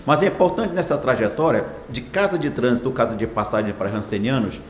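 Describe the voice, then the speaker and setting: A man's voice: medium pitch, fairly quick, roomy sound, faint music behind. A man in his sixties, a hospital foundation director, answering interview questions in a formal, ceremonious way with long lists of phrases. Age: 60s.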